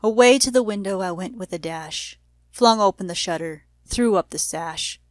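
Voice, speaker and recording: Female storybook reader, medium pitch, expressive delivery of rhymed verse, dry recording. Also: normal speed, dry recording. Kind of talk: expressive read-aloud of rhyming children's verse.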